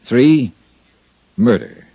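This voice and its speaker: An actor playing a cool, probing insurance investigator in measured, hard-boiled old-radio detective style. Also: male voice, medium pitch, wildly swooping pitch, vocal fry, slightly rough, no room sound, heavily acted. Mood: firm. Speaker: lead actor and narrator of a detective radio serial. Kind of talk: 1950s radio detective acting. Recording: muffled old radio broadcast with music.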